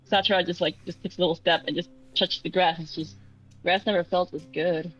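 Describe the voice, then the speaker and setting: A slightly dull recording of a woman in a casual tabletop roleplay. Her voice sits a little low, and she talks in an awkward, halting way as she improvises. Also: fairly even intonation. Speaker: player in a tabletop game.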